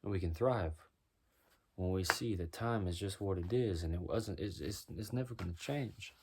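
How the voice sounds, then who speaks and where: A man with a deep voice doing a rhyming spoken-word freestyle, musing and preaching as he goes. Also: normal speed, darkish tone, a little hiss or hum. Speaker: freestyle musician.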